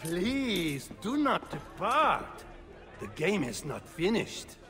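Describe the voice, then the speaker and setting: An actor playing a menacing Renaissance dice-game thug in a video game. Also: male voice, high-pitched, huge pitch swings, takes it slowly, bright, forceful tone, big-room sound, soft background music, exaggerated voice. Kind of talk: dramatic video game cutscene acting.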